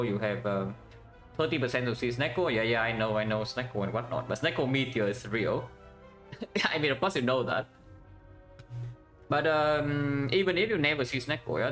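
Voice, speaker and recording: Vietnamese-accented male streamer, mid pitch, casual running commentary, faint music bed. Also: fairly bright tone. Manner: thinks aloud, tag questions "yeah?", chats with viewers. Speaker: game streamer.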